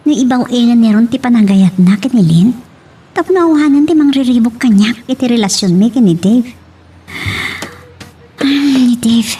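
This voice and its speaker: A woman's voice: a little high, dark tone, husky, exaggerated voice. A woman playing a headstrong young woman in love in an audio love drama, recorded at a distance. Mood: wistful. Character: headstrong young woman in love, defending her romance to her mother. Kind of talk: Ilocano radio-style love drama acting.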